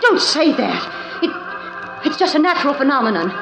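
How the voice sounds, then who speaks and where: A woman's voice: high-pitched, very animated, normal speed, vocal fry, music in the background, heavily acted. A woman playing a frightened wife in a 1940s radio drama, breathless and alarmed. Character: frightened young wife of the professor. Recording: echoey dull old radio recording.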